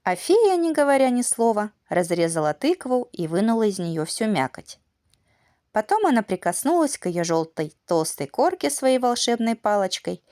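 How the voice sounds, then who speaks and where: A woman narrating a children's fairy tale as an audiobook, in an expressive, measured bedtime-story manner. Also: mid-range voice, no room sound, studio quality.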